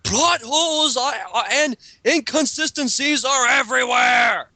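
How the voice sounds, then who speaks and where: Bright-voiced, exasperated man in casual commentary. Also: extremely high pitch, unhurried, no echo. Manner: exasperated, sweary. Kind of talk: casual group commentary and reading aloud.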